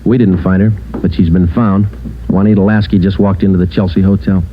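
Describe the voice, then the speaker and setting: An actor playing a stoic, businesslike police sergeant with a clipped, deadpan delivery in a 1940s radio crime drama. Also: male voice, low voice, a little fast, lo-fi sound, lightly acted. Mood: flat, factual. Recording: band-limited old radio recording with music.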